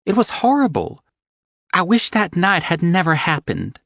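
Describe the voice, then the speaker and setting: A man's voice: wildly swooping pitch, normal speed, creaky, fairly bright tone, no room sound, clean studio sound, heavily acted. A man voicing an anxious, tearful young woman who talks in a pleading, strained way. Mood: distressed. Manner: pleading, breathless, high and strained.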